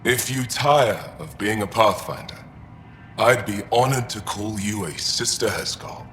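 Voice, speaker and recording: A man playing a proud, honorable alien warrior in video game dialogue, formal and measured. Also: fairly low pitch, lively intonation, background noise. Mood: proud, admiring.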